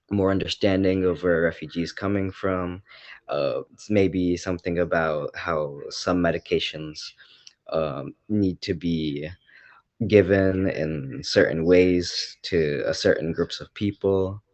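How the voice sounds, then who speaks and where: A man's voice: low-pitched, steady tone, takes it slowly, no room sound, muffled recording. A man interviewing, asking long, hesitant questions full of fillers.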